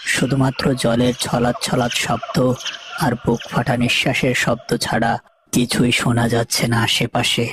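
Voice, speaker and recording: Male narrator, flat measured storytelling, mid pitch, heavy music bed. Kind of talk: scripted dramatic narration. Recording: loud music under voice.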